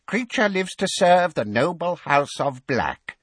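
A man's voice: a little high, very animated, a little slow, fairly bright tone, slightly rough, no room sound, voice pushed up, heavily acted. A man voicing a bitter, servile old house-elf in a storybook, muttering about himself in the third person. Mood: fawning, evasive.

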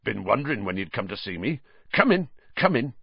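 Man doing a big, blunt, kindly gamekeeper; deep growl, for a storybook reading. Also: sing-song, fairly quick, gravelly, breathy edge, no echo, dull audio, heavily acted. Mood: gruff. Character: huge gruff male gamekeeper, blunt and kindly.

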